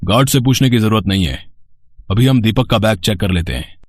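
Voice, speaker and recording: A man playing a stern, snobbish school principal in a cartoon moral story, with a husky, scolding and condescending delivery. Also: on the low side, lively intonation, a little fast, dry recording, clean studio sound. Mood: angry, scornful. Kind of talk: animated moral story dialogue.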